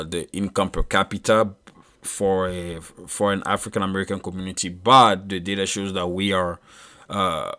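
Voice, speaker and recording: A man in his thirties from Ivory Coast, French his first language, speaking in an Ivorian French accent, giving a measured solo monologue with frequent fillers and restarts. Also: low-pitched, a bit bright. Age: 30s. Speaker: commentator.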